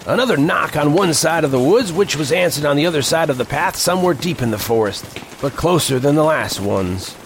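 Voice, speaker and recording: A man playing an earnest reporter who narrates an eerie western expedition in a deliberate, descriptive way for an audio drama. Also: on the high side, animated, noisy background. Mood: earnest, foreboding. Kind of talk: first-person scripted audio drama narration. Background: music.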